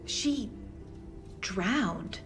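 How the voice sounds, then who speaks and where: A woman's voice: medium pitch, expressive, music in the background. A woman playing a gushing, over-familiar small-town acquaintance in a game drama, effusive and drawing out her words. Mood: gushing, unsettling. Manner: effusive, drawn-out. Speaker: townsperson acquaintance. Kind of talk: game cutscene drama acting.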